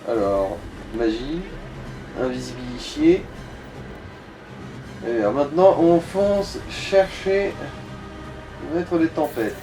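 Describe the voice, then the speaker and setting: A man's voice: fairly high pitch, slow pace, long pauses. A man chatting casually and giving advice as a companion during a video game session. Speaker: let's play companion. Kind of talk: casual gameplay chat and advice. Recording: distant echoey mic, game music behind.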